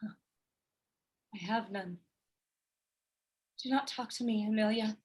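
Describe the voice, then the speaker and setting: An actress playing a loyal, innocent wife in a Shakespearean radio play, earnest, formal and sorrowful. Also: female voice, mid-range voice, slow, slightly rough. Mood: numb, sad. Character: loyal, innocent young wife, wounded and pleading.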